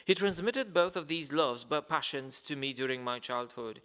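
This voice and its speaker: A man's voice: fairly high pitch, normal speed, bright, forceful tone, clean tone. A man reading a letter aloud at a ceremony in a formal, hesitant way, with many fillers and stumbles over names. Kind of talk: formal speech read aloud. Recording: long reverb of a large hall.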